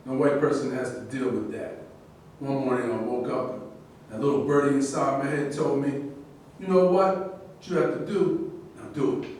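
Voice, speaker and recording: A man acting in a live staged reading as an earnest, principled, defiant boxer, with a halting but insistent delivery. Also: mid-range voice, fairly even intonation, darkish tone, natural voice. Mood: impassioned. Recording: very echoey distant room recording, faint noise.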